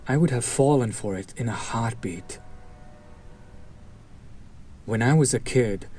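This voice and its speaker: A man playing a fallen hero turned villain, an idealistic, bitter and protective former partner, speaking earnestly with dramatic pauses in an audio roleplay. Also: mid-range voice, darkish tone, husky. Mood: wistful, earnest. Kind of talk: dramatic audio roleplay monologue addressed to listener.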